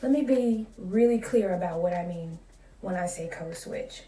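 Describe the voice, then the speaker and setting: A woman in her late thirties, a school psychologist, talking in a soft, calm and measured way in a casual vlog. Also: on the low side, echoey room, distant mic. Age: 30s.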